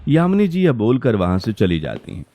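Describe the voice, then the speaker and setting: A man's voice: fairly low pitch, wildly swooping pitch, a little fast, muffled recording, noisy background. A man narrating a scripted Hindi cartoon story as its storyteller.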